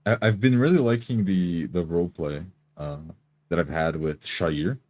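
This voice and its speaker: A man's voice: low voice, normal speed, a little mellow. A man who plays tabletop roleplaying games, talking casually about his character. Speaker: tabletop game player.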